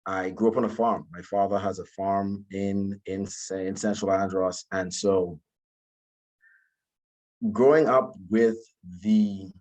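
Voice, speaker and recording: A man, giving an informal talk about his own story in a polite, humble way, often halting and repeating words. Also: low-pitched, steady tone, darkish tone, highs cut off. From Bahamas. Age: 20s. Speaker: guest speaker, alumnus.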